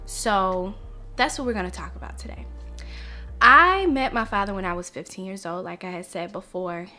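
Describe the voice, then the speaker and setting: A woman's voice: mid-range voice, pushed and bright, soft background music. A young woman talking to camera on her vlog, earnest and reflective as she shares something personal. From United States. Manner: earnest, reflective, slow and heartfelt. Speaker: vlogger.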